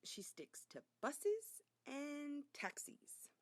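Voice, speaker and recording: A woman reading a children's story aloud as a narrator, in an expressive storytelling way. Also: high-pitched, extremely slow, no room sound. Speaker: reader.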